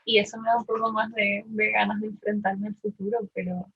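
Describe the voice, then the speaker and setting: A woman's voice: medium pitch, level tone, brisk, phone-quality sound, slight background noise. A woman in her twenties from Argentina, talking candidly and casually in a personal, reflective way with youthful slang. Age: 20s. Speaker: participant in a group discussion.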